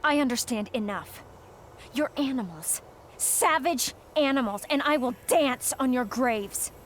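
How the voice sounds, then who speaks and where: A woman's voice: sits high, animated, measured pace, slight background noise. An actress playing a bitter, defiant young woman in a video game, speaking with intense, accusing delivery. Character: defiant young woman, bitter, fearful former prisoner of beasts. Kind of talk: dramatic video game acting.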